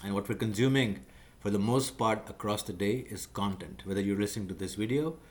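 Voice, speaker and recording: Lowish-voiced man lecturing with many fillers. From Pakistan. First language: Urdu. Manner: measured, lecturing, many fillers and self-corrections. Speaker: video creator explaining job skills. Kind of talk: unscripted talking-head explainer.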